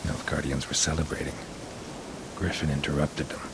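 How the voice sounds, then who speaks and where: An actor playing a gruff, world-weary and terse monster hunter in a video game, speaking in a deadpan, gravelly murmur. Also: male voice, rumbling low, normal speed, noisy background. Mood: grim, observing. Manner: deadpan, terse, clipped sentences.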